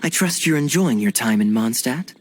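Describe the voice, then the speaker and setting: An actor playing a suave, courteous cavalry captain in a video game cutscene. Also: male voice, medium pitch, animated, normal speed, a little hiss or hum, natural voice. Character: suave charming knight captain man, smooth and teasing.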